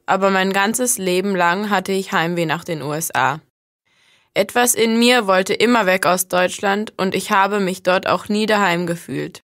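A woman reading a scripted first-person account for language learners in a plain, even way. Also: mid-range voice, no echo, clean studio sound. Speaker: reader of listening texts.

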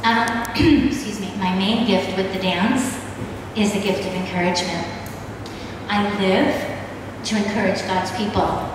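Hoarse middle-aged lady preaching, clears her throat a lot, big echoey hall, mic far away, some noise. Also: medium pitch, steady tone, measured pace. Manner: warm, chatty, easily sidetracked, frequent throat clearing. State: losing her voice. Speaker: dance minister. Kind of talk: informal ministry talk to a women's gathering. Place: large wood-panelled hall or church, on a stage. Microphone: handheld mic, filmed from a distant camera. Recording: roomy hall echo, distant mic, noisy.

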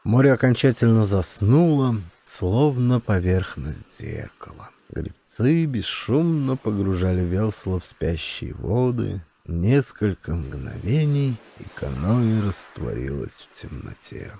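A man's voice: lowish voice, big pitch swings, takes it slowly, few pauses, dark tone, a little hiss or hum. A man reading an adventure audiobook as a narrator, with a dramatic storytelling delivery. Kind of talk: expressive scripted audiobook narration.

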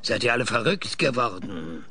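Bright, forceful older professor. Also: male voice, medium pitch, sing-song, normal speed, slight background noise. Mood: indignant. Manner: indignant, blustering. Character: irascible older professor, sidelined space mission trainer. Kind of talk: German audio drama acting.

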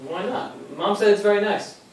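A man's voice: sits high, normal speed, natural voice. A young man acting an anxious, patient grandson who also narrates his memories in a live stage play. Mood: patient, concerned. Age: young adult. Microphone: far camera in the audience. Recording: echoey small theater, distant camera, faint hiss.

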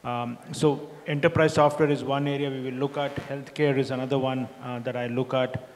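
A middle-aged man speaks on a conference stage through a handheld mic. His voice sits at an ordinary pitch, and he explains things in a measured way with plenty of fillers. The long reverb of a large hall trails behind his words. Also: little pitch movement. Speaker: investor panelist. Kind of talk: panel discussion answer.

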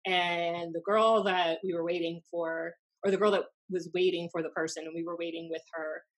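An American woman in her thirties telling anecdotes casually, in a blunt, animated, sweary way, as a podcast host. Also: on the low side, moderate pace, rough recording. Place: small room at home, indoors. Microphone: desk podcast microphone on video call.

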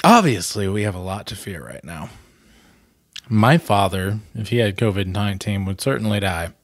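A man's voice: lowish voice, animated, normal speed, dry recording. A man in his thirties speaking as a vlog host and storyteller, earnest and emphatic, close to a desk microphone. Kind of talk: storybook reading and candid commentary. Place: small plain room indoors.

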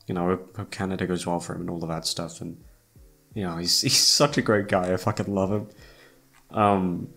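A man's voice: low voice, fairly bright tone. A young man chatting casually to his listeners in a rambling, gushing, self-deprecating way with plenty of swearing. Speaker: audio roleplay creator. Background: faint music.

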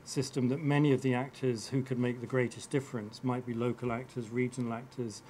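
Halting male panel moderator, slight room noise. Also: medium pitch, flat delivery, warm tone. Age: middle-aged. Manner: halting, repeats opening words. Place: conference room, indoors.

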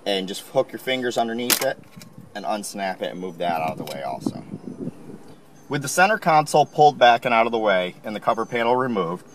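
Calm man explaining a car install. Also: fairly low pitch, fairly quick, slight background noise. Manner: calm, plain instructional. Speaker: installation instructor. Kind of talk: step-by-step how-to narration. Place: inside a car.

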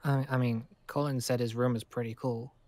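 A man's voice: medium pitch, flat delivery, a little fast, darkish tone, a little hiss or hum, close to an everyday voice. A man improvising a naive, eager, polite young newcomer in a game roleplay, speaking earnestly. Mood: impressed. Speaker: player in a Minecraft roleplay.

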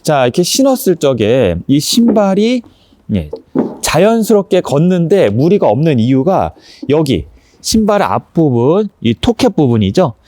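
A man's voice: fairly high pitch, sing-song, unhurried, dark tone, dry recording. A man presenting a casual hands-on review, explaining each step politely as he demonstrates.

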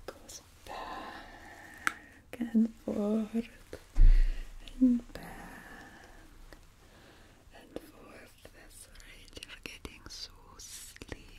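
Woman doing creepy wheedling vampire ghoul, croaky asmr whisper, super slow and bossy. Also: on the high side, mellow, full character voice. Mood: cooing, hypnotic. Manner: cooing, coaxing, drawn-out. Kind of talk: whispered ASMR hypnosis roleplay. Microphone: close microphone.

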